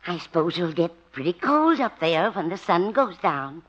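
An actress playing a gentle, knowing elderly mother of a grown son in a 1950s radio sitcom. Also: on the low side, big pitch swings, normal speed. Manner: gentle, quietly persuasive. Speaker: supporting actress in a radio comedy. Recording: muffled old radio recording.

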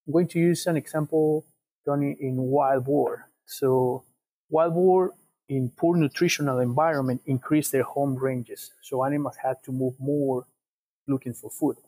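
Halting young man with an Ecuadorian accent. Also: medium pitch, steady tone, mellow, husky, rough recording. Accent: Ecuadorian Spanish. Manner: measured, halting, repeats words. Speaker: guest researcher, PhD student. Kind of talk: explaining research in an interview.

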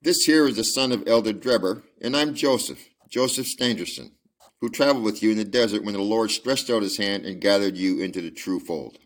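A man's voice: on the low side, fairly quick, close to an everyday voice. A man reading aloud and voicing a pompous young male suitor who boasts and preaches. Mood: smug.

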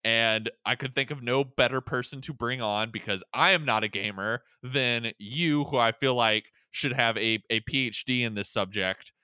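A male podcast host with a bright, clean voice in a medium register, recorded close and dry. He chats casually and rambles, doubling back on his words as he goes. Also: medium pitch, normal speed, hardly any pauses, clear, resonant tone, no room sound. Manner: rambling, with repeated words and self-interruptions. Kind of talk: casual chat about movies and games.

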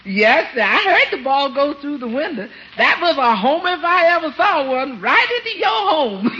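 An actress playing a sassy, knowing housekeeper with a folksy, wry manner in a 1950s radio comedy. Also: female voice, sits high, animated, normal speed, heavy background noise. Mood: amused. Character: sassy, knowing woman housekeeper who teases and scolds. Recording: dull 1950s radio recording.

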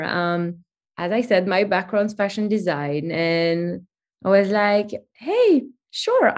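A woman in her thirties from Belgium, chatting as a guest in a remote interview and telling stories with many fillers. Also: medium pitch. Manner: chatty, animated storytelling with fillers. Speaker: guest, company CEO.

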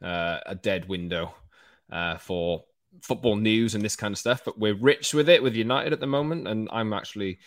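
A Welsh man leads a casual football podcast with an energetic, chatty delivery. His voice sits on the low side and the close mic sounds dry, with no room around it. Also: normal speed, dry recording. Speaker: podcast host.

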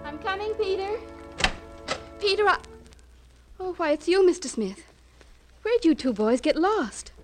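A sweet, trusting young wife in an old-time radio drama. Her voice is very high and rises and falls widely as she frets and questions. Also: big pitch swings, measured pace, long pauses, soft background music, exaggerated voice. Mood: anxious, puzzled. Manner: fretful, breathlessly questioning. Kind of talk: 1950s radio drama acting.

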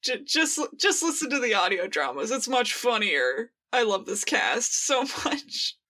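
A young woman co-hosting a podcast in casual fan chat, giggly and excitable, and swearing freely. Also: fairly high pitch, measured pace. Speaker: podcast co-host. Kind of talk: casual fan discussion of audio dramas.

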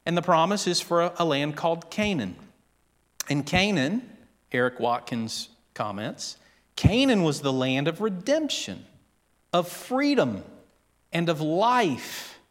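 A man delivers a sermon at a slow, deliberate pace, his voice sitting a little high. The sound rings with a long reverb from a large church. He leans into the quoted lines with dramatic weight. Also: big pitch swings. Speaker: preacher.

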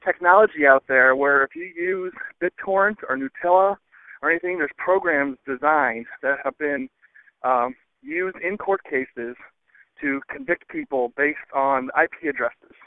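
A man caller leaving a casual, rambling voicemail in hesitant, halting phrases over a phone line. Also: fairly high pitch, no room sound. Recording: thin, dry phone line.

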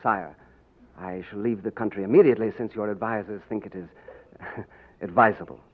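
An actor playing a dashing, courtly gentleman of royal looks in a theatrical 1940s radio drama. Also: on the low side, steady tone, moderate pace, lightly acted. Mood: suave, courteous. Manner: theatrical, courtly, crisply spoken. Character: dashing gentleman adventurer and his excitable royal double. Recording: tinny, narrow old radio broadcast.

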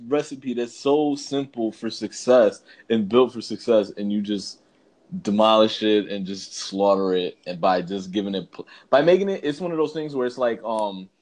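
A man's voice: on the low side, normal speed, darkish tone. A man co-hosting a podcast, chatting casually in a slangy, rambling way and circling back to agree. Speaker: podcast co-host. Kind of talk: casual movie chat.

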